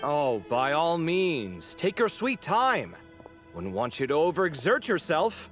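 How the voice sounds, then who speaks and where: An inquisitive, easily exasperated young game adventurer. Her delivery is unhurried and dry, with lively swings in pitch. Her sardonic edge sits over soft background music. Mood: sarcastic. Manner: dry, sarcastic, expressive. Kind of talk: video game character dialogue.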